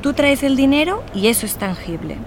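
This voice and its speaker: Higher-voiced woman over ambient noise. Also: sing-song, brisk.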